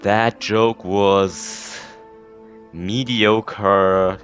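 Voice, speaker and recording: A woman's voice: extremely low pitch, fairly even intonation, very slow, faint music behind. A woman giving casual, wry, offhand commentary as she plays a video game. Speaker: game streamer.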